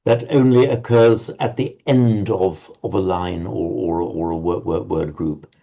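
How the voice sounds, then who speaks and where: A man's voice: low-pitched, fairly even intonation, mellow, muffled recording. A man teaching an online language class, patiently correcting pronunciation and often stammering over his words. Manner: halting, stammering repeats, patient. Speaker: Sanskrit teacher.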